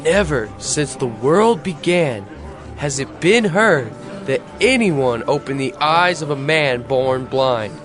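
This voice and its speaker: Casting: bold, astonished healed beggar, higher voice, emphatic Bible drama delivery over clear music. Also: male voice, animated, slow pace. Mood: bold, indignant. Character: formerly blind beggar, earnest, bold, astonished. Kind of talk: dramatized audio Bible acting.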